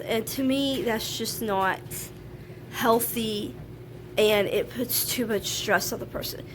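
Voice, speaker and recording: A frank, chatty woman with a slightly high voice, nasal and stuffed up from a sinus infection. She talks to camera at home, with a faint hum of household noise behind her. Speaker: vlogger. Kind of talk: casual talk to camera. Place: at home, laundry running.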